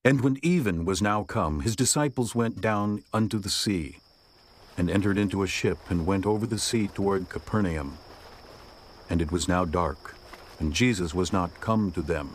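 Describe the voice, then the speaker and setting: A man narrating scripture from a script in measured, formal storytelling. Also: on the low side, slight background noise.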